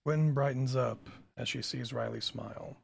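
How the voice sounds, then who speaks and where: A very creaky male voice narrates stage directions at a script read. The tone is rough and husky, with a middle pitch. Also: steady tone, normal speed. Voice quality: gravelly, husky.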